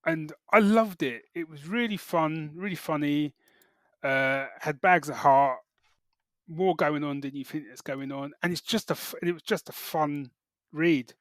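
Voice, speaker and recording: A middle-aged British man chatting casually as a podcast co-host, halting and correcting himself as he goes. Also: on the high side, fairly bright tone, no echo, studio quality. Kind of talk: casual chat, geek reviews.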